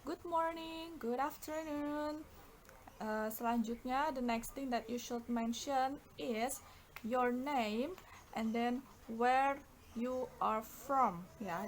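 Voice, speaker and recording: A woman's voice: on the high side, poor audio. A woman with an Indonesian accent explaining a bilingual lesson as an English teacher, often stretching out her words. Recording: clear background noise.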